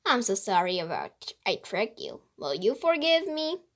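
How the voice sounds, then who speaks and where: A woman voicing a mischievous woman mystery writer in a storybook, explaining with animated emphasis. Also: medium pitch, measured pace. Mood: confiding. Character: playful, mischievous woman mystery author confessing her scheme.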